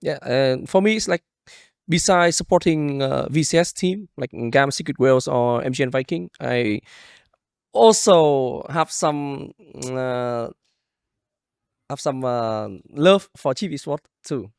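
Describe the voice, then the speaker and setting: A man with a Vietnamese accent chatting casually as a podcast guest, searching for words in halting second-language English. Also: mid-range voice, creaky, smooth, no echo, clean studio sound. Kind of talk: casual chat.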